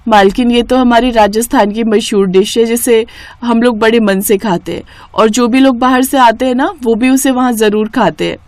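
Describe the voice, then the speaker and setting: Woman as a humble, caring mother with high voice. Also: steady tone, moderate pace, darkish tone, no echo, close to an everyday voice. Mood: polite, chatty. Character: poor hardworking mother and cook, humble, caring.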